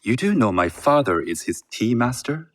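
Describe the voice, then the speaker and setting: An actor playing a shrewd, composed merchant heir in a game set in feudal Japan, speaking in a measured, formal way. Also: male voice, mid-range voice, sing-song. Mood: guarded, appraising. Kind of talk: video game cutscene acting.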